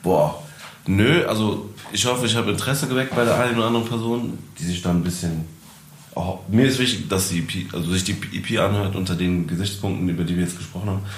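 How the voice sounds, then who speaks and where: A man's voice: low voice, quick pace. A man who is a musician guest, talking in a casual, reflective way and laughing easily. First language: German. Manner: reflective, laughs easily, mixes in English words. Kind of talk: casual interview chat. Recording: echoey room, faint noise.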